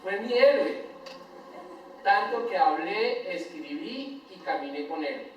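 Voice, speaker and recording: An older Colombian man preaching a sermon as pastor, swinging between loud emphatic lines and soft tender asides. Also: high-pitched, expressive, takes it slowly, slight background noise. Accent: Colombian Spanish. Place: church sanctuary. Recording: echoey church hall, voice from far off the mic.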